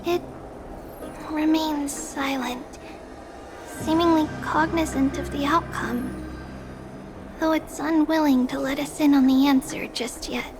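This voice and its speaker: An actress voicing a gentle, childlike female oracle in a video game, speaking in a soft, deliberate, earnest way. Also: sits high, fairly even intonation. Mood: serene. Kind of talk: scripted video game acting. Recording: music behind the voice.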